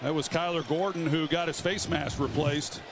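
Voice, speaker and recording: A man's voice: a little high, fairly even intonation, normal speed. A man giving analytical football commentary as a television color analyst.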